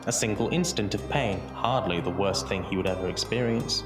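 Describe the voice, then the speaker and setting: Narrator for audio drama: male, lowish pitch, theatrical measured delivery, roomy sound over a music bed. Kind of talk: dramatic scripted storytelling narration. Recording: roomy echo under music.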